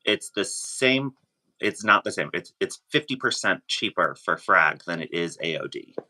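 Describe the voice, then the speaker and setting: Low-voiced man with a bright edge. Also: animated, moderate pace. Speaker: interview guest sharing personal experience. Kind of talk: casual chat.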